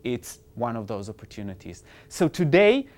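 Smooth-voiced man presenting app tutorials. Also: mid-range voice, very animated, moderate pace, darkish tone. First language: Hebrew. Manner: upbeat, explanatory walkthrough. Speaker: educational technology presenter.

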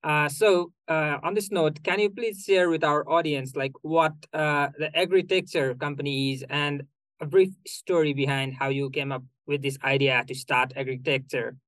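A man co-hosting a student podcast, asking questions in a hesitant, halting way with many fillers. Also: fairly high pitch, steady tone, fairly bright tone, no echo, poor audio. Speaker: co-host, student. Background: faint music under the intro.

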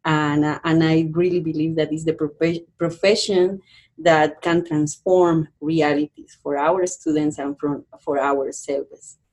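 Low-voiced Peruvian-born woman educator. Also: steady tone, darkish tone. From Peru. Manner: thoughtful, halting, many fillers and restarts. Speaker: university educator, guest. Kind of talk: podcast interview conversation.

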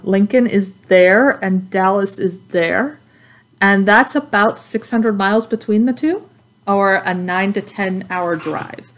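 A woman from Texas with a Texan accent, an instructor giving a recorded welcome introduction in a friendly, measured way with many ums. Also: mid-range voice.

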